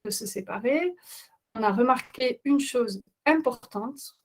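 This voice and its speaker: A woman's voice: fairly high pitch, expressive, measured pace. A middle-aged woman with a non-native accent, hosting a webinar as a parenting coach, speaking earnestly with frequent hesitations. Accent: non-native speaker of French. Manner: hesitant, many filler sounds, earnest. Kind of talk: webinar presentation.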